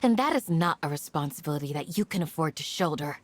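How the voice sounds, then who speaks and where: A woman voicing a dignified, firm desert village guardian in a video game, measured and authoritative. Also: low voice, lively intonation, slight background noise. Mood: solemn warning.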